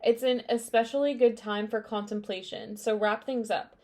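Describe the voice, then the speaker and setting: A woman's voice: on the high side, fairly even intonation, normal speed, darkish tone. Chatty young woman reading tarot cards. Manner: chatty, meandering, many fillers and asides. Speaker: tarot reader. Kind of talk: casual spiritual card reading.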